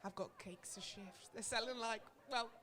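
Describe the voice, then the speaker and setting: Woman acting as homely community centre worker, affectionate, chatty, fairly high voice, radio play. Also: moderate pace, fairly bright tone, natural voice. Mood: warm, cheerful. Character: warm, chatty long-serving female community centre worker.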